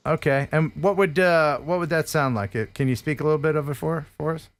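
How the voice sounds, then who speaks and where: Excitable middle-aged man on a video call. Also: fairly high pitch, a little fast, no echo. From United States. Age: 50s. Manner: enthusiastic, exclaims and laughs often. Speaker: host. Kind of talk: casual video call interview. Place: home studio room indoors. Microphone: headset on video call.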